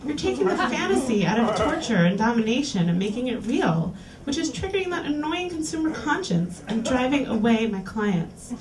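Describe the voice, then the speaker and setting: A woman's voice: fairly high pitch, very animated, darkish tone, slight background noise. A woman hosting a reading series, reading an erotica piece aloud to a live audience in a small lounge. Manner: clear, deliberate reading-aloud delivery. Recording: roomy, distant live capture.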